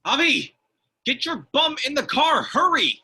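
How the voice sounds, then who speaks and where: A man playing an anxious, easily panicked delivery driver in a comic table read, with an excitable, whiny, exasperated delivery. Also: extremely high pitch, a little slow, full character voice. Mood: alarmed.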